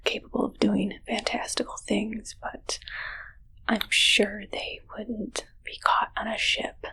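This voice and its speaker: A woman in an ASMR roleplay voicing a soft-spoken, awkward alien posing as a ship's doctor, mostly whispering with halting, politely formal delivery. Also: mid-range voice, creaky, rough recording. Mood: defensive admiration.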